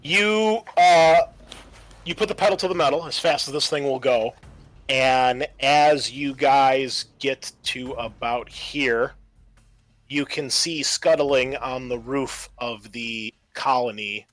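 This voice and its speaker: A man narrating a tabletop roleplaying game as game master, explaining things in a halting way. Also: mid-range voice, fairly bright tone, faint music behind.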